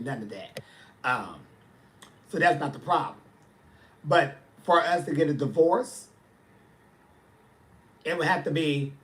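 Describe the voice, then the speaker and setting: A man's voice: on the high side, a bit bright. A man, chatting casually on a livestream and answering viewers' comments with sass. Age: 40s. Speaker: livestream host.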